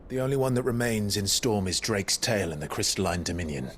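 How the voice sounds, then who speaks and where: An actor playing a grave, resolute outlaw leader in a video game cutscene, speaking in a solemn, measured way. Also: male voice, on the low side, animated, a little hiss or hum. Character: grave, resolute outlaw leader, deep-voiced young man. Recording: loud music behind. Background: loud music.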